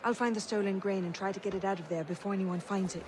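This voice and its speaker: Actor as a quick, high-voiced resolute ally. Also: male voice, fairly even intonation, background noise, natural voice. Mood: urgent. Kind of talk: video game cutscene acting.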